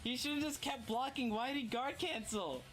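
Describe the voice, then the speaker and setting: A man's voice: very high-pitched, moderate pace, fairly bright tone, soft background music. A man in casual gaming banter, opinionated and slangy, swearing freely as he makes his point. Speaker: streamer.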